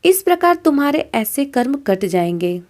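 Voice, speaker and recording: A woman's voice: sits high, big pitch swings, moderate pace, a little mellow. A woman voicing a stern but merciful male God in a moral story, solemn and admonishing. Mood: firm, instructing.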